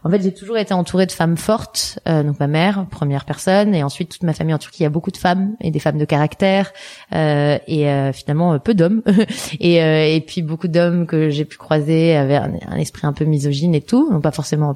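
A woman in her mid-thirties from the Paris region talks about her life in a low, deep voice. Her tone is dark and mellow, and she is reflective and conversational, pausing often as she searches for words. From Paris region, France. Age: 30s. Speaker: guest, entrepreneur. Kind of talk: casual conversational interview.

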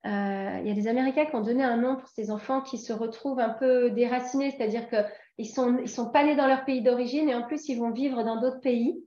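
A woman in her forties from France, a guest talking casually in an interview and pausing often with fillers and restarts. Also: fairly high pitch, fairly even intonation, mellow, roomy sound, muffled recording. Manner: hesitant, many fillers and restarts.

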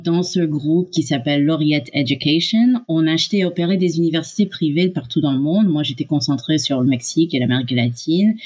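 A woman with a North American English accent speaks as a guest on an interview podcast. She is middle-aged and her voice sits low. She explains fluently and at length, with occasional fillers. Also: a little mellow. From Canada and USA. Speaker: guest, startup co-founder.

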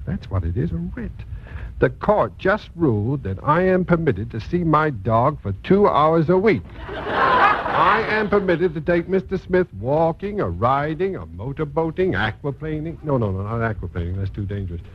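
An actor playing a glib, suave estranged husband, mock-polite and teasing, in 1950s radio comedy style. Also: male voice, a little high, very animated, moderate pace. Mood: teasing, breezy. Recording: noisy, muffled 1950s radio recording.

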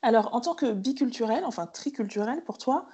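A woman's voice: fairly high pitch, expressive, fairly quick, warm tone, soft background music. A woman hosting a podcast, asking long, engaged, curious questions. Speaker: podcast host. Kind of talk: podcast interview.

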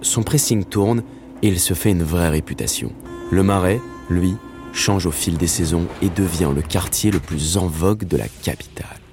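A man, narrating his own story from a script in a wry, slangy way with dramatic pauses. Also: deep voice, over music. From Paris, France. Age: 30s. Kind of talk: scripted first-person narration.

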